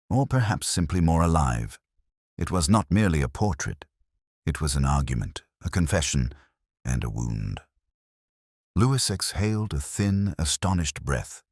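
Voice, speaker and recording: Very deep-voiced man narrating a solemn mystery. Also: dry recording, studio quality. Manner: solemn, literary, measured delivery. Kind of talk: scripted first-person detective mystery narration.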